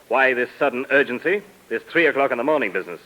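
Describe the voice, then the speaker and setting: A man playing a fast, blustering rich businessman. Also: medium pitch, expressive, highs cut off, natural voice. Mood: officious, wary. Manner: blustering, stammering when flustered, officious. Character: indignant, self-important wealthy businessman, male, brusque. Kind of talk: 1950s radio drama acting. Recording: noisy old radio recording.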